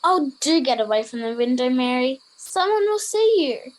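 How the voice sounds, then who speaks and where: A woman's voice: high-pitched, sing-song, normal speed. An Australian girl of about ten playing a prim, bossy Victorian boarding-school pupil in an amateur radio play. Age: 10 to 11, child. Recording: noisy home recording with crickets.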